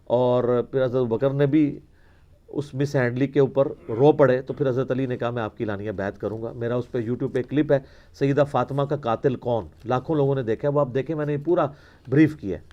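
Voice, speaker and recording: A man's voice: medium pitch, darkish tone, dull audio. A man delivering an argumentative religious lecture in a mocking, rhetorical way, quoting narrations as he goes. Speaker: religious teacher answering questions.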